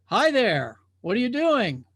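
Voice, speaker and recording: Man putting on a high-pitched, skeptical young drifter. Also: wildly swooping pitch, unhurried, fairly bright tone, heavily acted. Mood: curious. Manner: questioning, incredulous. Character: young skeptical river drifters, brash, questioning.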